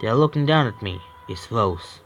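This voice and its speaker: A man with an Indian English accent, a gaming streamer reading a horror visual novel aloud, with halting restarts. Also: on the low side, expressive, soft background music. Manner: halting, stumbling read with restarts.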